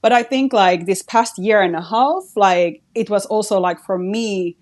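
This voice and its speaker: A woman's voice: medium pitch, a little slow, darkish tone. A woman from Finland with a Finnish accent, a guest artist talking reflectively in a casual podcast chat, with "like" in nearly every phrase. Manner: reflective, says "like" very often.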